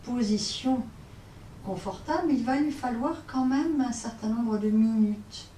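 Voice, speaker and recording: Slow-spoken woman guiding relaxation. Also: fairly high pitch. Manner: hesitant, pausing, repeating phrases gently. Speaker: yoga nidra teacher. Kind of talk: guided relaxation instruction. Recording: roomy, distant mic, faint noise.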